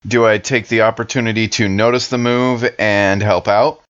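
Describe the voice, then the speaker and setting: A man's voice: lowish voice, fairly even intonation, moderate pace, a bit bright, no echo, dull audio. A man talking casually as a player in a tabletop game.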